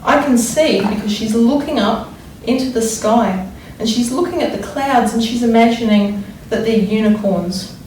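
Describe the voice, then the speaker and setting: A woman's voice: a little high, a little mellow. Woman reading to children in an echoey room. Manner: deliberate, wondering aloud, dramatic emphasis when reading. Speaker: primary school teacher reading a storybook. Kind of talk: expressive children's read-aloud with think-aloud explanations. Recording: echoey room, distant mic, faint noise.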